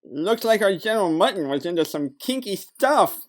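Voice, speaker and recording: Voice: medium pitch, moderate pace, close to an everyday voice. An actor as a flippant wisecracking detective sidekick. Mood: joking. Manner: flippant, deadpan joking. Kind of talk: comic noir audio drama acting.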